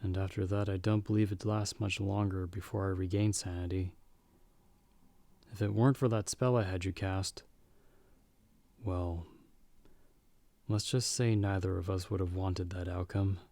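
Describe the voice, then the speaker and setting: A man playing a calm, world-weary half-vampire hunter in an audio roleplay, speaking in a measured, understated way as a protective mentor to a companion. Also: low voice, dry recording. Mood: reflective. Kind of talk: ASMR audio roleplay acting.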